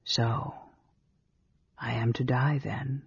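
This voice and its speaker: A woman voicing a weary, dying male mage in a storybook, intense and terse, with a low, hoarse, breathy whisper. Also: measured pace, dull audio, heavily acted. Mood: weary, resigned.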